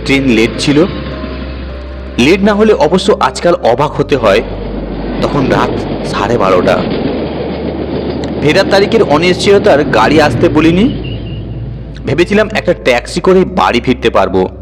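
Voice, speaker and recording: A man's voice: mid-range voice, very animated, measured pace, long pauses, low-quality recording. Male horror storyteller over music. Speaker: audio story narrator. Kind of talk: dramatic first-person horror story narration. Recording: music bed under the voice.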